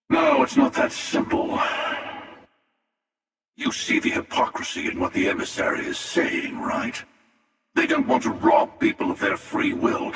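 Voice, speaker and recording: A cynical, world-weary hunter from a video game, speaks in a heavily creaking, gravelly voice soaked in cavernous echo. His delivery is slow and sardonic, full of amused menace and theatrical weight. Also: very high voice, fairly bright tone, full character voice. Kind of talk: scripted video game character dialogue. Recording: cavernous echoing reverb, distant.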